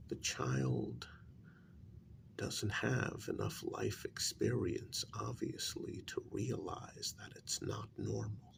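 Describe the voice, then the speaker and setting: A man in a soft-spoken ASMR role-play as a calm, grave psychologist, talking in a measured, halting, breathy half-whisper with gravel in it. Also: on the low side, background noise. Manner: deliberate, measured, halting phrases. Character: calm, grave male psychologist and spiritualist counselling a parent.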